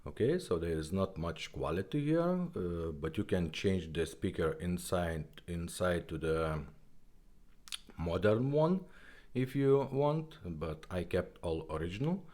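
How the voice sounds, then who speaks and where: A man who is not a native English speaker, giving a casual product demonstration in a halting, simple step-by-step way. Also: low-pitched, lively intonation, a little mellow. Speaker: presenter of a vintage car radio demonstration.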